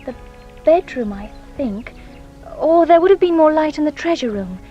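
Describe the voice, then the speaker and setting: A genteel young woman confessing as a witness in a radio drama. Her voice is on the high side, anxious and hesitant, with a dramatic edge. Light music runs underneath. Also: expressive, normal speed, lo-fi sound. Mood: uneasy recollection. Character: anxious, guilt-ridden genteel young Englishwoman giving testimony. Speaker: actress playing a witness.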